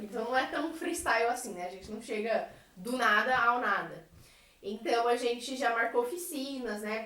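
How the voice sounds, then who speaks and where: A woman's voice: fairly high pitch, a bit bright. A young Brazilian woman in casual podcast conversation, explaining things with frequent conversational tags. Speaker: member of a science theatre group. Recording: echoey room, distant from microphone.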